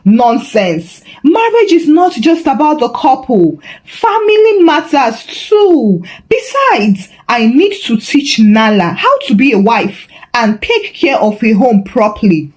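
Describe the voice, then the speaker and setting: Woman acting bossy, high-pitched older mother-in-law. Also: big pitch swings, slow, few pauses, darkish tone. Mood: dismissive, insistent. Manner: dismissive, firm, emphatic.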